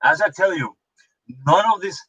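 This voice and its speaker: Halting Punjabi Indian man hosting a show. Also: high voice, sharp tone, breathy edge, low-quality recording. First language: Norwegian. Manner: halting, many fillers and restarts. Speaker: host. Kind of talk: political commentary monologue.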